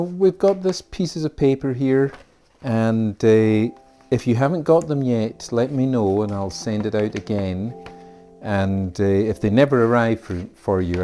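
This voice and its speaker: A Scottish man introduces his art class in a slow, rambling way, full of fillers and small asides. His voice sits low and mellow. Faint music plays under his talk. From Scotland. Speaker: art teacher.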